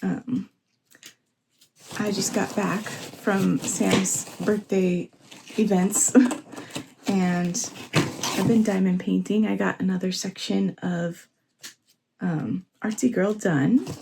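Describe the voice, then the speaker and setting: Chatty woman with a hoarse voice. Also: mid-range voice, slow, long pauses, noisy background. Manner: chatty, excitable, laughs often. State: hoarse, sore voice. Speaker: vlogger. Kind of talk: casual vlog chat. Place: home, indoors.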